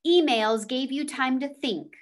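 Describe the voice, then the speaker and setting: A woman's voice: high-pitched, a little slow. A woman reading a children's novel aloud as a storyteller. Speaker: read-aloud reader.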